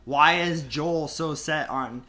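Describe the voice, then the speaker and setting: A man co-hosting a podcast in casual discussion, explaining things in an animated, halting, rambling way. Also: on the high side. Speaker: podcast co-host.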